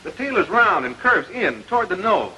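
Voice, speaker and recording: An American actor playing a stern, exacting major with a brisk, authoritative manner in a 1940s military training film. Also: male voice, high-pitched, sing-song, sharp tone, full character voice. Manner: brisk, authoritative, clipped questioning. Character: stern, exacting army air force major instructing pilots. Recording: noisy old 1940s film soundtrack.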